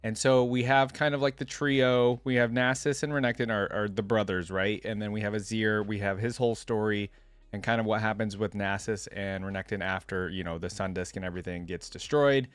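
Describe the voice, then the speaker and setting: Male reaction host, on the low side, conversational commentary and read-aloud lore, very dry recording. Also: fairly even intonation, a little fast, smooth, no echo.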